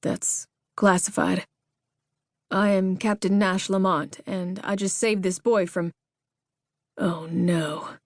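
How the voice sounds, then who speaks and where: A woman reading an audiobook, voicing a tall, dazed male ex-army cowboy with a Southern drawl who speaks slowly and haltingly. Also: fairly low pitch, fairly even intonation, no echo, studio quality. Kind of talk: audiobook character voice.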